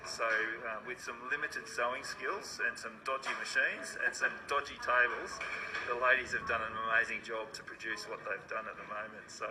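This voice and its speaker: A man, a business owner relaxedly explaining a sewing project in an interview, with plenty of fillers. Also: mid-range voice. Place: sewing workshop. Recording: echoey room with background noise.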